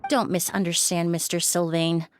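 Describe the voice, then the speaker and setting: An actress playing a composed, shrewd young perfumer in a video game, speaking in a measured, formal, analytical way. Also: female voice, lowish voice, little pitch movement, background noise, lightly acted. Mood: gentle, serious.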